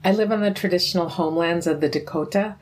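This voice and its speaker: A woman poet from Minnesota, calmly and earnestly introducing and reading her poem. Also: on the low side, quick pace, slight background noise.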